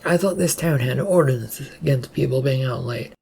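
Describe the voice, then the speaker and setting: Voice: mid-range voice, moderate pace, creaky, darkish tone, breathy edge. A narrator voicing a bossy, exasperated male state trooper who gives orders in a storybook reading. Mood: loud, exasperated. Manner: commanding, announcing to crowds.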